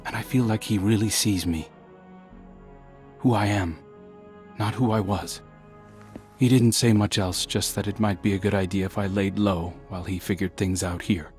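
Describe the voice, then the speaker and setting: A man's voice: lowish voice, a little mellow, lightly acted. A man voicing a humble, haunted young scout in a dramatic game cutscene, speaking candidly and reflectively with some hesitation. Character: humble, haunted young male scout seeking a second chance.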